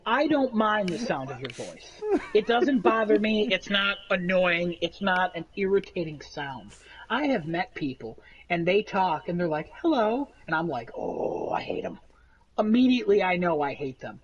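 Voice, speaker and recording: A man with a nasal voice, rambling through animated stories in a casual chat among friends. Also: high voice, normal speed, highs cut off. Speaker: podcast co-host.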